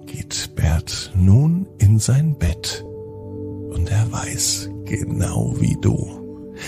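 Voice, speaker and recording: A man narrates a children's bedtime story in a gentle, deliberate way with pauses. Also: sits low, creaky.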